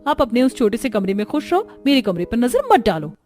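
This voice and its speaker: A woman's voice: on the high side, big pitch swings, a little fast, darkish tone. A woman playing a possessive, defiant young sister-in-law in a family cartoon.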